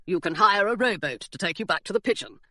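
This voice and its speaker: A jaunty young male pirate from a storybook, voiced by a woman narrator. He talks breezily, with a lively lift. The sound is dry and close. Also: mid-range voice, quick pace, no echo. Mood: breezy.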